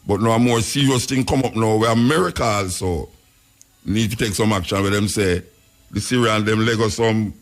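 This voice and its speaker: A man's voice: fairly low pitch, normal speed. A man with a Jamaican accent hosting a radio show, rambling through casual commentary in patois with frequent chuckles. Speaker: radio host.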